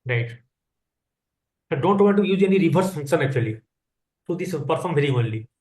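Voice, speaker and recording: A man's voice: a little high, lively intonation, a little fast, a little mellow. A man posing a question in a casual group discussion, checking others follow. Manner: informal, checks understanding. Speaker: forum participant asking questions. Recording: echoey room on a call.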